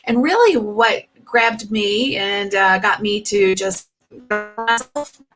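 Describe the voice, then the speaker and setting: A woman's voice: medium pitch, slow pace, a bit bright, faint music behind. A woman, hosting an interview show, who chats freely with many fillers and asks her guest questions. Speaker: show host. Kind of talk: casual interview chat.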